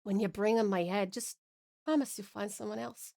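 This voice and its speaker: A woman's voice: on the high side, animated, moderate pace, fairly bright tone. A woman voicing a dying, battle-weary woman in a storybook tale, wry and resigned, with an attempted Scottish accent and blunt old-fashioned speech. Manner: archaic dialect, weary and blunt.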